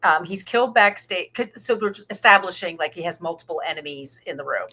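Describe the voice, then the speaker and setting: A woman's voice: fairly low pitch, animated, a little fast, sharp tone. A woman co-hosting a podcast, discussing film in a casual, matter-of-fact conversational way. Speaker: podcast co-host.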